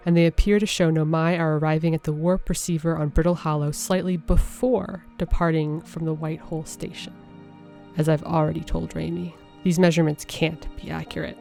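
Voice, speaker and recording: Earnest, curious alien scientists trade messages about their experiments, as a woman reads them aloud. The voice sits a little low and stays conversational and sincere. Faint music plays underneath. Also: close to an everyday voice. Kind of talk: reading in-game alien text aloud.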